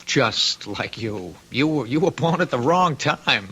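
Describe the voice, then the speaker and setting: An actor playing a timid, admiring grown son, apologetic and halting, in a radio drama. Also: male voice, medium pitch, expressive, sharp tone, breathy edge, heavily acted. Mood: ashamed, admiring. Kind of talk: old-time radio drama acting.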